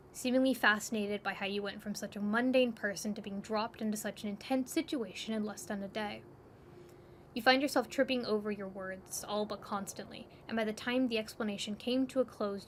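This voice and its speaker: A woman's voice: medium pitch, slight background noise. A woman reading scripted second-person narration for an audio drama, over ambient sound.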